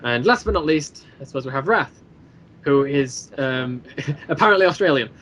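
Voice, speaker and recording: A man in a casual podcast chat, explaining his own creative work in a rambling, halting way and repeating phrases as he goes. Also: mid-range voice, lively intonation, dull audio, a crowd in the background. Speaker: guest, creator of an audio drama series.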